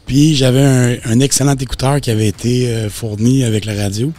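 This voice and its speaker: A man's voice: mid-range voice, fairly even intonation, a little fast, warm tone, faint music behind. A man with a Québécois accent, speaking frankly and agreeably as a podcast host. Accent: Québécois French. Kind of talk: casual chat.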